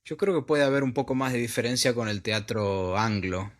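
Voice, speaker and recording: A man's voice: medium pitch, normal speed. A man with an Argentine Spanish accent, an actor talking casually as an interview guest, searching for words with many fillers and repeats. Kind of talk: casual conversation.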